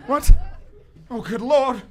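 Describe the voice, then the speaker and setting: An actor playing an indignant, pompous English gentleman in live radio theater, blustering and formal. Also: high-pitched, takes it slowly, a crowd in the background. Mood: dismayed. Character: indignant, pompous young English gentleman, exasperated royal agent.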